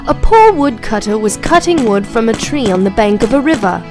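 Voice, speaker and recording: A woman with a fairly high voice reads a children's fable aloud in a slow, clear, even way. Background music plays plainly under her narration throughout. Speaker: storyteller. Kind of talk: scripted children's story narration.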